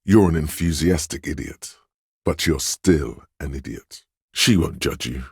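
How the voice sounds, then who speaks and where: A man voicing a suave, insinuating inner voice of persuasion in a video game, narrating in a measured, knowing, gently coaxing way. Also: no room sound, clean studio sound, exaggerated voice. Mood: wry, measured. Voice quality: very deep, husky. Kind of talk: scripted video game narration in second person.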